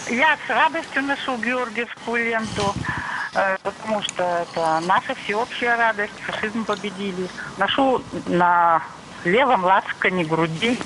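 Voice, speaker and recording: A woman's voice: medium pitch, a little slow. A woman from Yekaterinburg phoning in to a radio show, giving her view with earnest fervour and formal phrasing. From Yekaterinburg, Russia. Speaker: radio phone-in caller. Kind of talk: earnest opinion on a live call. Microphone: telephone. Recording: noisy phone line, vehicle sounds.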